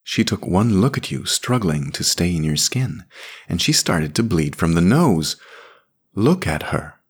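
A man voicing a calm, firm grown woman in an audiobook, in his own deep, steady voice and a gently insistent tone. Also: measured pace, breathy edge, exaggerated voice. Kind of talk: audiobook character voice.

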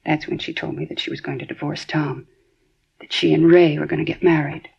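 A woman's voice: low-pitched, steady tone, a little fast, creaky, husky, full character voice. An actress playing an anxious middle-aged housewife telling police what she knows, in earnest 1950s radio drama style. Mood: worried. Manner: earnest, worried retelling. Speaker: witness questioned by police. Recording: dull 1950s radio broadcast.

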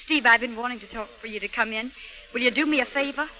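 A woman's voice: a little high, fast, lightly acted. An actress playing a cheerful young woman who runs a roadside coffee shop, in 1930s radio style. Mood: friendly, brisk. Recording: dull, noisy 1930s radio broadcast.

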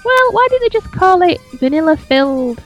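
A woman's voice: very high voice, very animated, moderate pace, dull audio, soft background music. A woman co-hosting a casual podcast chat, blunt and joking, firing off questions. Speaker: podcast co-host.

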